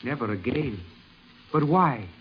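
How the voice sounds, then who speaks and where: An actor playing an earnest, grateful young miner turned scholar, speaking solemnly and haltingly in formal phrases in a radio drama. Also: male voice, medium pitch, expressive, a little hiss or hum, close to an everyday voice. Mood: hurt, bewildered. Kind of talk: 1950s radio drama acting. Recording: muffled vintage radio recording.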